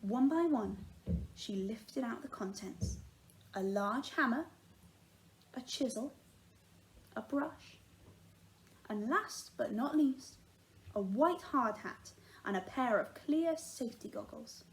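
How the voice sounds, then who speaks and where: Woman, higher-pitched voice, children's storytime narration, teacher reading aloud, expressive delivery. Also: takes it slowly, pauses often, rough recording. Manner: animated, acts out the dialogue.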